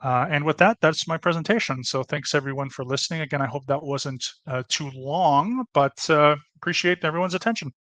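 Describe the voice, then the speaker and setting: A man's voice: fairly high pitch, brisk, few pauses, fairly bright tone, no room sound. A man presenting a history topic on a casual podcast, with many fillers and restarts.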